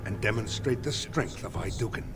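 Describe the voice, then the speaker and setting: An actor playing a stern military commander in a fantasy game, speaking formally and with measured authority. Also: male voice, fairly low pitch, close to an everyday voice. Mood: formal, firm. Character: stern male military commander briefing a young noble. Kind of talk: fantasy video game dialogue. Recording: game music behind.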